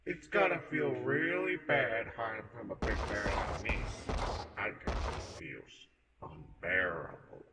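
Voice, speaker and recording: A man's voice: on the low side, very animated, unhurried. A man voicing a menacing animatronic bear mascot in a horror cartoon, falsely cheery and taunting in a theatrical way. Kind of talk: animated horror character monologue. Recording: roomy, reverberant, distant, faint noise.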